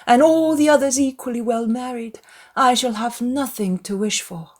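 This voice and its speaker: An eager, matchmaking middle-aged mother from a classic novel , acted out by a female narrator. Her voice is pitched high and theatrical, full of hope for her family. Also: normal speed, a little mellow, lightly acted. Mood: eager, hopeful.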